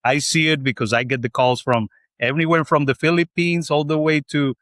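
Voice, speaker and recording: A man's voice: a little high, fairly even intonation, fairly quick, a bit bright, dry recording, clean studio sound. A middle-aged man from Colombia hosting a podcast interview, talking in long rambling runs with fillers and restarts. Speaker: podcast host. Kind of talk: interview conversation.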